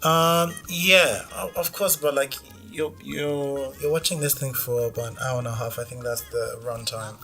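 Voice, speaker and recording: A man from Nigeria hosts a casual film review, voicing blunt, skeptical opinions with wry asides. His voice is mid-pitched and conversational. Faint music plays in the background. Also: steady tone, normal speed, hardly any pauses, bright, forceful tone. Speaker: film critic host.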